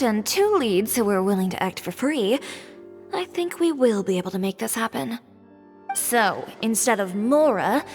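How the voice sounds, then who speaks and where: An actress playing a confident, decisive young woman sponsor in game dialogue. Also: a little high. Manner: breezy, decisive, teasing. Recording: reverberant with music behind.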